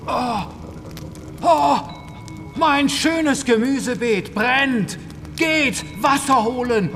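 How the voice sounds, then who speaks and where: A man's voice: very high voice, very slow, a bit bright, heavily acted. A man playing a fretful, wailing monk in a children's radio play. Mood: panicked wailing. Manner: wailing, exclamatory. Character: whining, fretful monk, protective of his garden. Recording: long reverb, music underneath.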